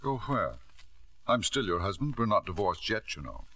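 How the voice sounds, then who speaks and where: An actor playing a wealthy, controlling middle-aged husband with a suave, clipped manner in a 1950s radio drama. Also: male voice, fairly low pitch, very animated, moderate pace, bright, forceful tone, heavily acted. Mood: defensive, irritated. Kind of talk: 1950s radio drama acting with first-person narration. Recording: vintage 1950s radio broadcast.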